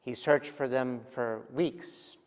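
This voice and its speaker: A man preaching a sermon as pastor, speaking gently and reflectively with pauses and soft trailing endings. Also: medium pitch, steady tone, clear, resonant tone. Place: large church sanctuary. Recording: long church reverb.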